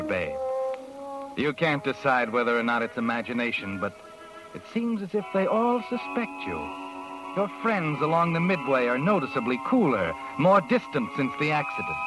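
Actor as sardonic narrator, animated, over music. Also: male voice, mid-range voice, lightly acted, low-quality recording. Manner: insinuating, taunting. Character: knowing, sardonic narrator addressing a guilty woman. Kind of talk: old-time radio second-person narration. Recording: vintage radio recording with music.

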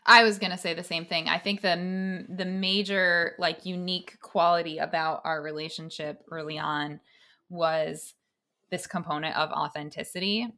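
A woman co-hosting a podcast in a reflective conversation, making her points deliberately in short, measured phrases. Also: on the low side, steady tone, bright, forceful tone. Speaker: podcast co-host.

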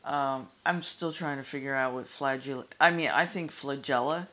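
A woman's voice: very deep voice, normal speed, a bit bright. A woman co-hosting a casual podcast, giggly and playful as she chats. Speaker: podcast co-host. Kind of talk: casual chat.

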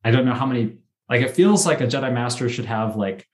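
A man's voice: mid-range voice, fast. A man chatting casually as a podcast panelist discussing a TV series. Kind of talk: casual chat about a TV series.

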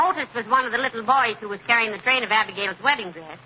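An actress playing a sly-witted middle-aged housewife, genial and dryly teasing, in a radio comedy. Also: female voice, a little high, a little fast, narrow, tinny audio, close to an everyday voice. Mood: sweetly catty. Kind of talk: 1940s radio comedy acting. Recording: thin, noisy old radio broadcast.

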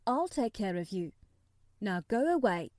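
A woman's voice: mid-range voice, big pitch swings, unhurried, a little mellow, no echo, clean studio sound. A woman voicing a spoiled, sickly young boy of wealth who talks in a grand, commanding way in a storybook reading. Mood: imperious.

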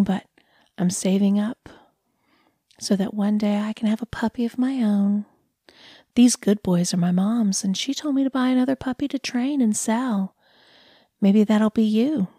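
A woman's voice: medium pitch, mellow, breathy edge, no room sound, clean studio sound. A woman voicing a sugary, condescending woman who trains human pets, in half-whispered, patronizing baby talk.

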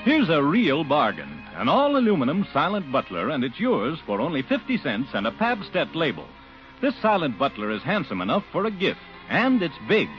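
Male American pitchman, highly animated melody, enthusiastic vintage radio selling, dull recording, faint music. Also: moderate pace. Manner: punchy, enthusiastic salesman. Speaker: radio commercial announcer. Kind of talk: 1940s-50s radio commercial.

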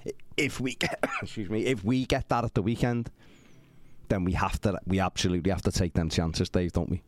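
A man's voice: low voice, steady tone, fairly quick, dry recording. A man hosting a casual football podcast in a conversational, bantering way, recorded in a studio.

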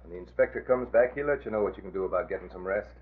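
An actor playing a gruff, excitable police guard in an old radio drama, with blunt slangy talk and a husky voice. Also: male voice, fairly low pitch, very fast. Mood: firm. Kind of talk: 1940s radio acting. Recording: tinny, narrow old radio recording.

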